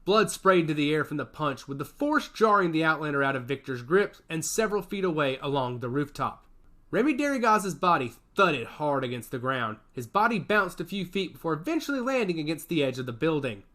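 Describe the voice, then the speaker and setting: A man's voice: fairly high pitch, big pitch swings, brisk, bright, forceful tone. A man reading a science fiction action story aloud as its narrator, with a dramatic storytelling delivery.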